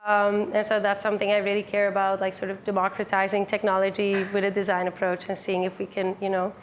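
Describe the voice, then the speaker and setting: A woman's voice: mid-range voice, slight background noise. A woman in her twenties from the Netherlands, giving a casual, upbeat meetup talk to a full room, with lots of "like" and "um". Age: 20s. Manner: upbeat, chatty, many fillers like "like" and "um". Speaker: meetup speaker. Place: full room with a live audience.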